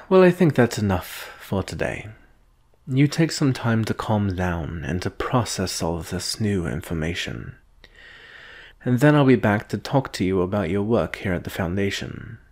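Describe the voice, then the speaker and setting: A man playing a calm, condescending alien specialist from a secret agency in a measured, deliberate ASMR roleplay monologue. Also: on the low side. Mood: composed, reassuring. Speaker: roleplay performer.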